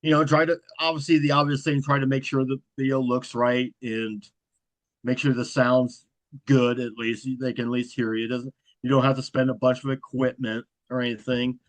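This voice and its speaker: A man as a guest in a casual interview. He speaks in a self-described monotone, even manner. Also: mid-range voice, moderate pace, no room sound. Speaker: guest, horror content creator.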